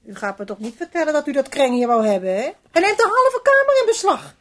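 Een vrouw die in een hoorspel een verontwaardigde, bazige huishoudster uit de volksklasse speelt, mopperend en aandringend. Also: female voice, high-pitched, big pitch swings, quick pace. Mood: suspicious nagging. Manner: scolding, insistent, grumbling. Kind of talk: Dutch radio play acting. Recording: old radio recording with faint noise.

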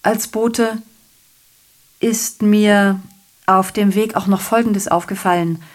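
An actress playing a garrulous, self-pitying messenger in a German radio play, giving a digressive, theatrical monologue that keeps correcting itself. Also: female voice, mid-range voice, fairly even intonation, takes it slowly, lightly acted. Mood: confiding, rueful. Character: garrulous, self-pitying messenger reporting a night of revelry and shootings.

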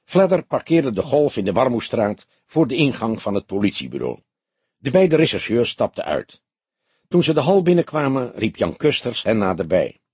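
A man with a very husky, breathy-rough voice reads scripted fiction. His delivery is measured yet varied, rising and falling widely, and the sound is dry and close. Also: mid-range voice, no echo. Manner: expressive, measured storytelling. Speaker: audiobook narrator. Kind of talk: scripted literary narration.

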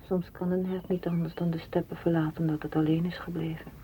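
Actress as a hushed, dreamy, solitary woman. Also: lowish voice, flat delivery, fast talker, slight background noise, lightly acted. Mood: wistful. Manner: poetic, reflective, hushed. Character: solitary, dreamy, independent woman longing for open space. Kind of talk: literary radio drama acting.

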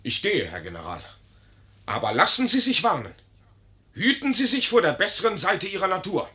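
An actor playing a foolish, indignant young lieutenant with a blustering, overblown manner in a 1950s German radio play. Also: male voice, sits high, very animated, normal speed, sharp tone, full character voice. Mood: wounded, pompous.

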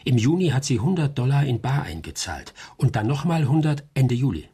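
Informal investigator, radio play, very raspy, clipped, wry. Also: male voice, medium pitch, animated, fairly quick, warm tone. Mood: wry, businesslike. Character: informal, wry investigator reporting to his lady client. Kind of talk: radio drama acting.

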